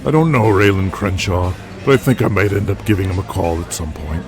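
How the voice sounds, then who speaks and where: A man playing a calm, good-natured veteran pro-wrestler in an audio drama, speaking in a measured, conversational way. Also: deep voice. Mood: candid. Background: music.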